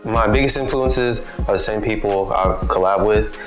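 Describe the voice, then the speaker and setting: A man's voice: fairly low pitch, moderate pace. A man in his twenties from Texas, a rapper speaking plainly and matter-of-factly about his music. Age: 20s. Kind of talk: casual self-introduction interview.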